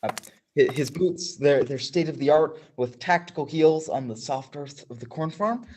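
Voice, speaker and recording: A man's voice: fairly high pitch, animated, normal speed, a little mellow. A man playing a flustered news reporter in a stage radio play, stammering through formal newsreader phrasing.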